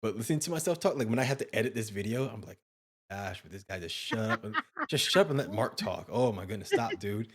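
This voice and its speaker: A man's voice: lowish voice, animated, fast talker, breathy edge. Rambling, self-deprecating male host. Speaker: interview host. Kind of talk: casual interview chat.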